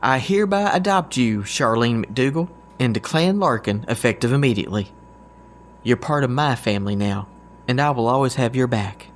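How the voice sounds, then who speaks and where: A man's voice: medium pitch, animated, moderate pace, slight background noise, close to an everyday voice. A man playing a loyal, good-natured and goofy agent with a folksy, joking manner in an audio drama. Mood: earnest, supportive. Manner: folksy, warm-hearted, joking.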